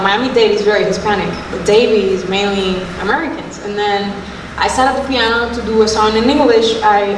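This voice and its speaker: A woman's voice: medium pitch, background noise. A Cuban woman, a singer on a panel, speaking English with a Cuban accent, hesitant with many ums. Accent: Cuban Spanish. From Cuba. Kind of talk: panel discussion, personal anecdote. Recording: echoey hall from far off.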